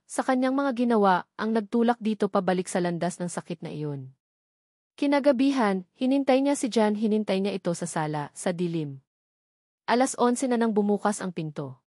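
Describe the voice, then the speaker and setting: A woman's voice: mid-range voice, darkish tone, no echo, studio quality. A woman narrating a scripted story dramatically as an audiobook narrator.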